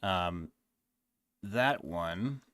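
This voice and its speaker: Hoarse man rambling on a live stream. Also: sits low, animated, very slow, fairly bright tone, dry recording. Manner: rambling, hesitant, many fillers. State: losing his voice. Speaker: streamer ranking games he reviewed. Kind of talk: casual live-stream chat.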